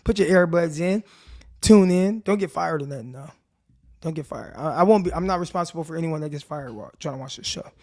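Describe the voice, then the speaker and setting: Man, raspy dry voice, fairly high pitch, laid-back slangy sports talk, live stream host. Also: fairly quick, a little mellow. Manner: laid-back slangy chat addressing viewers directly. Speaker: podcast host. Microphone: desk mic with earbuds in.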